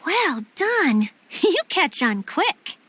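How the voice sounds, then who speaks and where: A woman's voice: very high-pitched, very animated, drawn-out words, fairly bright tone, a little hiss or hum, full character voice. A woman voicing a shy but cheerful female water spirit mascot in a video game cutscene, bubbly and easily flustered. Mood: bubbly, confident.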